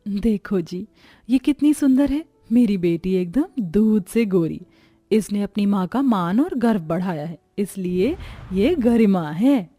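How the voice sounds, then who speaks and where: A woman's voice: mid-range voice, animated, takes it slowly, mellow. A woman voicing a vain, spiteful mother in a dramatic, haughty way for a moral story cartoon. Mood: doting. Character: vain, spiteful mother, scornful to one daughter, doting on another. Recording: music behind the voice.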